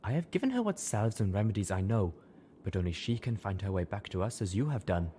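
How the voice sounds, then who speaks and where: A man acts out a grave female zebra healer in a fantasy audiobook. The delivery is quiet, flat and measured. The character comes across as calm, blunt and firm. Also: on the low side, a little hiss or hum. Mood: calm, solemn. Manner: quiet, solemn, flat and deliberate.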